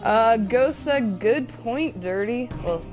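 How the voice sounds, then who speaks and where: A woman's voice: on the high side, lively intonation, takes it slowly. A woman hosting a gaming chat in a casual, teasing way, chatting and reading out comments. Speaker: gaming show host, reading viewer chat. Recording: loud music behind the voice. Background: music.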